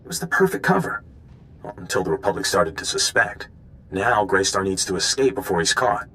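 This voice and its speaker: A man's voice: low-pitched, lively intonation, brisk, sharp tone, a little hiss or hum, exaggerated voice. An actor playing an earnest, scheming male undercover informant in a video game, speaking in an urgent, conspiratorial way. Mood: urgent, plotting. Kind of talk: video game dialogue acting.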